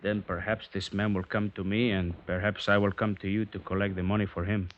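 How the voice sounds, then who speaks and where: A proud, scornful guitarist, played by a man in an old radio drama. His voice sits low and his words come measured and mocking. The sound is dull and lo-fi, like a 1950s broadcast. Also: level tone, lightly acted. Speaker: blackmail go-between.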